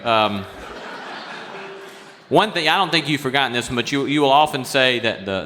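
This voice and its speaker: Guy hosting a live interview, crisp bright voice, mid pitch, big echoey hall sound. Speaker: interview host. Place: large hall, live event. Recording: echoing hall with long reverb.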